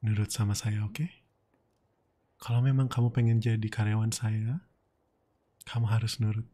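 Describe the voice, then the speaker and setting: Low, breathy Indonesian boss played by a man. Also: flat delivery, natural voice. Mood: persuasive, commanding. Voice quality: soft, breathy. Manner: slow, coaxing, murmured. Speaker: ASMR roleplay streamer. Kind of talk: intimate boyfriend roleplay ASMR. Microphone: close ASMR microphone.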